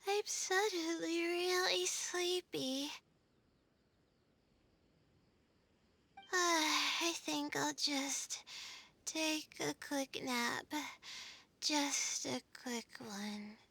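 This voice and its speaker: A woman's voice: high-pitched, extremely slow, gravelly, full character voice. A woman voicing a thoughtful, composed young woman scholar in an anime-style video game. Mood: sleepy. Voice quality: bright, youthful.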